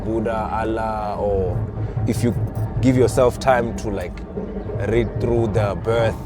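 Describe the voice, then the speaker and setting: A man's voice: fairly low pitch, steady tone, slow pace. Earnest man groping for words, music behind. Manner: searching for words, repeats himself, earnest. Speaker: interviewee, spiritual seeker. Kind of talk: casual conversation. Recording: music playing loud behind.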